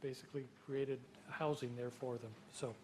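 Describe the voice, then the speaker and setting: A man's voice: mid-range voice, fairly even intonation, normal speed, darkish tone, a little hiss or hum. A city manager introducing himself at a council meeting, chatty and repeating himself as he chuckles through a story.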